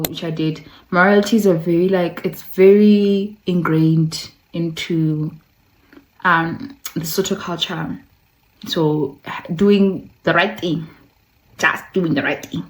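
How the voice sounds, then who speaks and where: A woman with a slightly low voice presents a casual video essay as its host. She talks conversationally, drops in playful asides and repeats words for emphasis. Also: poor audio. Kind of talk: casual video essay with passages read aloud.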